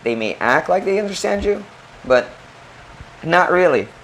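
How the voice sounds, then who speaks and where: A man's voice: sits high, very animated, unhurried, fairly bright tone, a little hiss or hum, poor audio. A man from the Philippines, co-hosting a casual chat and explaining his points step by step. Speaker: co-host.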